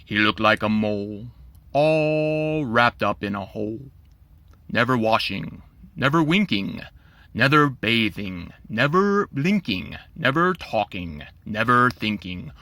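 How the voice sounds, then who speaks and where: A man's voice: on the low side, animated, slow pace, sharp tone, no room sound. A man narrating a rhyming children's storybook in a theatrical, playful way.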